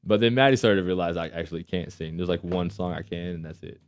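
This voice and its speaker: A man with a deep voice, chatting casually as a football player guest and laughing often. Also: fast talker, dry recording. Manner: laid-back, laughs often. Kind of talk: casual chat.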